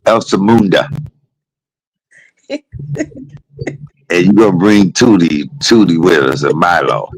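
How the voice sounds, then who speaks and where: A man's voice: sits low, slow, long pauses, husky, poor audio. A man chatting casually from a hospital room, joking and teasing with bursts of laughter. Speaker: podcast host. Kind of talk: casual chat.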